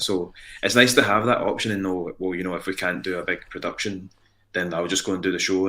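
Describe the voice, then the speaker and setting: Young Scottish male musician, low bright voice, rambling casual guest chat. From Scotland. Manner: rambling, halting, repeats words.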